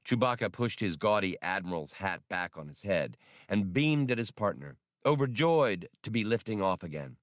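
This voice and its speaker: Animated male audiobook narrator, low voice. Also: normal speed, no room sound, studio quality. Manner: expressive, animated reading.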